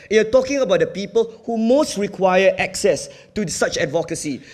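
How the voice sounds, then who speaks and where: Man, young adult, Singaporean accent, high voice, university debater, emphatic and earnest speech. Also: darkish tone.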